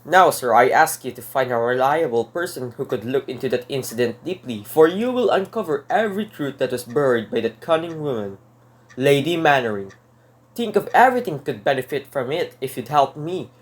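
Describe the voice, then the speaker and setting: A man acts out a desperate jailed burglar in a radio play. He speaks at a middling pitch in formal, old-fashioned phrases. His delivery is earnest, theatrical and pleading. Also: lively intonation, fairly quick, lightly acted. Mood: insistent, bitter. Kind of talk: dramatic radio play monologue and narration.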